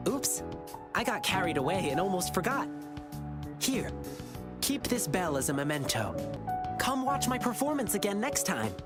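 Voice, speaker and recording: A performer voicing a gentle, earnest young lion-dancer beast boy in a video game. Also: mid-range voice. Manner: earnest, kindly.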